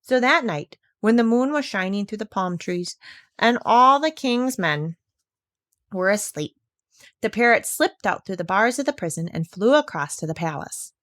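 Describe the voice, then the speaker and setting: A woman narrating a children's story aloud in an expressive, scripted storytelling style. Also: mid-range voice, normal speed, no echo, studio quality.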